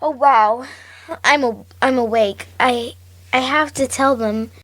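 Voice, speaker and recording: A woman's voice: a little high, takes it slowly, a bit bright, faint music behind, rough recording. A female performer playing an earnest, anxious young girl in an audio drama, with hesitant, wide-eyed delivery.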